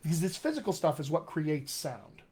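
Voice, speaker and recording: A man's voice: on the high side, sing-song, normal speed, dark tone, slightly rough. A man with a General American accent with hints of West Texas and upstate New York, hosting a casual live Q&A as a dialect coach in an upbeat, teacherly way. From Colorado, USA.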